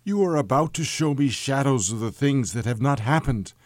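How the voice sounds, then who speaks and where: A man's voice: medium pitch, expressive, moderate pace, a little mellow, no echo, clean studio sound, voice pushed up, natural voice. A man voicing a frightened, humbled old man with timid, faltering questions in a dramatised storybook reading. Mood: awed, trembling. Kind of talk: dramatised classic audiobook reading.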